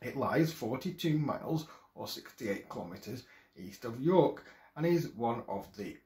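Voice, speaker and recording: A man in his thirties from England reads a history script from a screen at home, careful and a little halting, with occasional restarts. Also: mid-range voice, lively intonation, darkish tone. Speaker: video presenter. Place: small room at home, indoors. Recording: echoey room.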